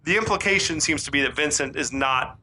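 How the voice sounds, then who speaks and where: Casual male podcast co-host, bright edge to the voice, laid-back scene-by-scene retelling. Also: a little high, fairly quick, slight background noise. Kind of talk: casual movie recap chat.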